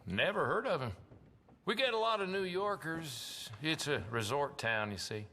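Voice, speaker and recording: Bright, lively frontier townsman. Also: a little high, normal speed, a little hiss or hum. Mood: offhand, helpful. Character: brisk male frontier townsman. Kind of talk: video game character acting.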